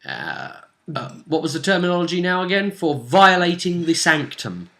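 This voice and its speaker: A man's voice: on the high side, sing-song, normal speed, bright, forceful tone. A British man speaking as game master, casually recapping a tabletop role-playing session and dropping teasing hints.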